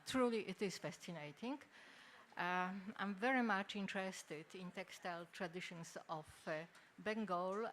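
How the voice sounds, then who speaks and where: An older woman from Poland with a Polish accent gives a formal conference lecture in halting, hesitant phrases. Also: medium pitch, a bit bright. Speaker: academic speaker, textile researcher. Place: indoor symposium venue. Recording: noisy live symposium room recording.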